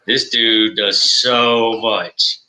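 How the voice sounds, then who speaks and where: A man's voice: on the low side, steady tone, extremely slow, pushed and bright, rough recording. A forty-year-old man co-hosting a casual podcast, blunt and casually profane. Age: 40s. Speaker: podcast co-host. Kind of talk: casual chat.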